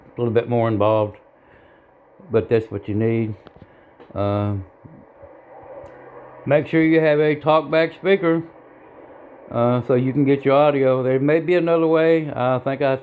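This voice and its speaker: A man talks through a hobby tutorial in a halting, plain-spoken way. He is informal and a little self-deprecating, with an average-pitched voice. Background noise and room ambience sit behind him. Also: flat delivery, phone-quality sound. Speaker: hobbyist video tutorial presenter. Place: indoors at a home radio bench.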